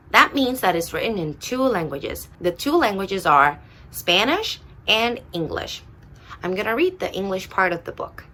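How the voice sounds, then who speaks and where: A woman with a Puerto Rican accent reads a children's picture book aloud in a simple, deliberate way for young listeners. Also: mid-range voice, bright, forceful tone. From Puerto Rico. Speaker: storyteller reading aloud to children.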